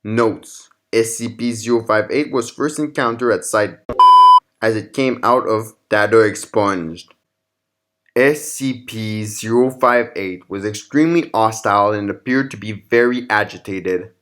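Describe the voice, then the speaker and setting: A man's voice: on the low side, unhurried, a bit bright. A man hosting and narrating scripted horror fiction in the form of documents and logs. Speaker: narrator and channel host.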